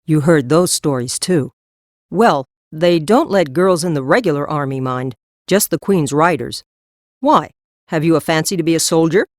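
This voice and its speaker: A woman's voice: sits low, lively intonation, moderate pace, darkish tone, no echo, studio quality. A woman acting a tough but kindly horse trader in a full-cast audiobook, blunt, practical and no-nonsense. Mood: frank, kindly.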